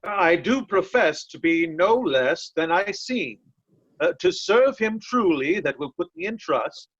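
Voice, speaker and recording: Man playing a loyal disguised nobleman, high-pitched, muffled. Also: lively intonation, a little slow, fairly bright tone, breathy edge, dry recording, full character voice. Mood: plain, earnest. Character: loyal, blunt banished nobleman disguised as servant. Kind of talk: readers' theatre Shakespeare acting. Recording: dull, lo-fi, dry sound.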